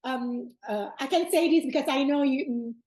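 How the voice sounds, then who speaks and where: Effusive woman host with a mellow voice. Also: high-pitched, normal speed. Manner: effusive, many fillers and restarts, hand gestures. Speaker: podcast host. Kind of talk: casual video call interview. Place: bright home room indoors.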